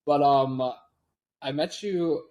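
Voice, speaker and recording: A man in his late twenties from Washington State, a guest talking over a video call, laid-back and quick to laugh. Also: a little high, darkish tone. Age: 20s. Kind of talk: casual interview chat.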